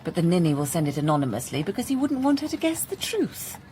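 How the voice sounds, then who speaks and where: A woman's voice: on the low side, sing-song, fast, mellow, a little hiss or hum. An actress playing a witty, scheming young woman, arch and teasing in a period radio drama. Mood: knowing, amused.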